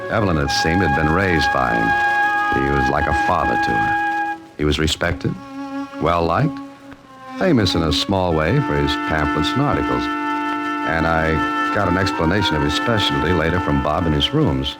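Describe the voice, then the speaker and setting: Dry American amateur detective, 1940s radio serial, low register, lively inflection, music-heavy vintage recording. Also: male voice, normal speed, rough recording. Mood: wry, narrating. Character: wry, self-possessed male amateur sleuth narrating his case. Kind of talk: 1940s radio drama acting and narration.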